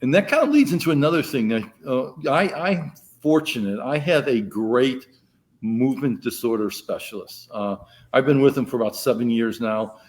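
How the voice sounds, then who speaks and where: A middle-aged man from the Mid-Atlantic US co-hosting a podcast, talking loudly in casual chat and repeating words as he starts a thought. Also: mid-range voice, lively intonation, moderate pace. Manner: loud, rambling, repeats words when starting. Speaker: podcast co-host.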